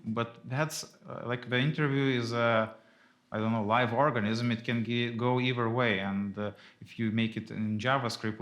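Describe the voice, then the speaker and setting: A man's voice: fairly low pitch, a bit bright. A man on a live office panel, answering questions in a loose, hedging way with many fillers. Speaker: engineer on recruitment panel. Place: office event space.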